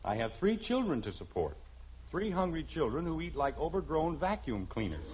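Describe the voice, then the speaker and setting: A man's voice: fairly high pitch, sing-song, moderate pace, a little mellow. An American actor playing an exasperated, sarcastic suburban father and husband in a 1950s radio sitcom, dry and incredulous. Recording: dull, slightly noisy old radio recording.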